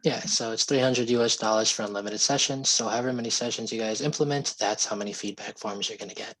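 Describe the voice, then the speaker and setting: A hoarse man explaining software pricing and support on a video call, polite and steady. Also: lowish voice, fast, a little hiss or hum, low-quality recording. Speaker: sales representative giving a software demo. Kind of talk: video call sales pitch.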